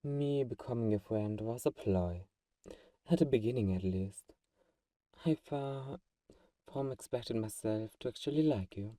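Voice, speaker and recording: A man playing a teasing, possessive vampire who is friends with a hunter, in an intimate ASMR audio roleplay with sighs and soft chuckles. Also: mid-range voice, unhurried, no room sound, far from the mic, close to an everyday voice. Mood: confessional, wry.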